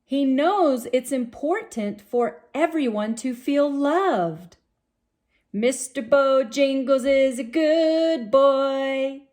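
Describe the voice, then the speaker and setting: Female children's storybook reader. High voice, unhurried delivery, expressive, sing-song touches. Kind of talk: read-aloud storytelling for young children.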